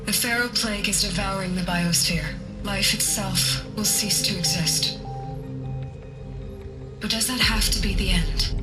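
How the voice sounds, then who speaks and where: An actress playing a visionary woman scientist in a video game, presenting in a measured, grave, persuasive way. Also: medium pitch, natural voice. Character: visionary woman scientist leading a project to revive life.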